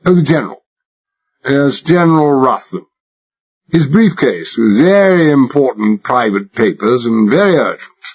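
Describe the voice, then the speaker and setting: A man's voice: medium pitch, animated, measured pace, slightly rough, pitched above the performer's own voice. A man voicing a male agent who fakes an exaggerated upper-class English accent, talking in a fussy, chattering, mock-polite way, on a dull lo-fi recording. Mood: affected. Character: male undercover agent faking a posh English secretary.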